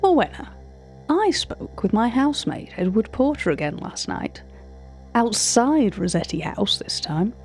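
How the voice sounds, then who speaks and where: A woman's voice: on the high side, very animated, unhurried, faint music behind. A woman playing a cultured, wry narrator in an audio drama, with a formal, precise, drily opinionated way of speaking. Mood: hushed unease, wry. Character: cultured, wry art-catalogue narrator recounting uncanny encounters. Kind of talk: scripted narration of an audio fiction.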